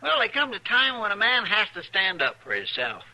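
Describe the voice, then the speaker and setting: A man's voice: high-pitched, huge pitch swings, brisk, pushed and bright, heavily acted, poor audio. A man playing a stubborn, bumbling deputy sidekick in a radio western, with a twangy rural drawl and a folksy, hemming way of talking. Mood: sheepish, defensive. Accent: rural American Western drawl. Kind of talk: 1950s radio western acting. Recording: old radio recording.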